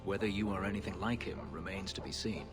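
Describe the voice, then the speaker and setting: A man playing a bitter, guarded escaped elf slave in a video game, speaking formally and gravely in a weighty voice. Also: low-pitched, natural voice. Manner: formal, grave, measured speech. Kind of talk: dramatic video game acting. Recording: music playing behind the voice.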